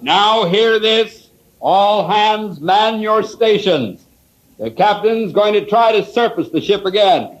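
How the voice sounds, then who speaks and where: Obedient sub crewman, high voice, formal service manner, relaying orders in a vintage radio drama. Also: animated, takes it slowly, lo-fi sound. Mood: formal announcing. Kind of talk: old-time radio drama acting.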